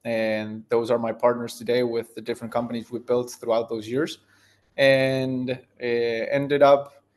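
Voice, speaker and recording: A man's voice: mid-range voice, flat delivery, unhurried, darkish tone. Thoughtful man in his thirties. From Argentina. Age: 30s. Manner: thoughtful, searching for words as he goes. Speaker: guest, tech founder. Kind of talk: podcast interview answers.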